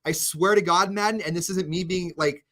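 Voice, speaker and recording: A man radio host in casual chat with his co-host, animated, often restarting his sentences. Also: high voice, normal speed.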